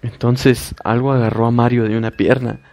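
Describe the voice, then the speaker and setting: A man voicing a frightened young woman who pleads and stammers in panic, in a gravelly recorded horror story. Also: mid-range voice, little pitch movement, moderate pace, a little mellow, soft background music, close to an everyday voice. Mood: terrified.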